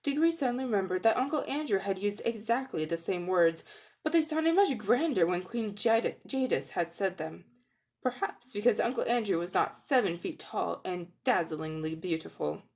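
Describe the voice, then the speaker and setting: A woman's voice: mid-range voice, lively intonation, normal speed, a little mellow. A woman reading scripted storybook narration in a dramatic reading style.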